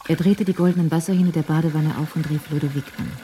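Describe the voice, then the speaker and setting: A woman's voice: low voice, steady tone, quick pace, warm tone, a little hiss or hum. A woman reading scripted narration for a German radio crime drama. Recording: faint music under the voice.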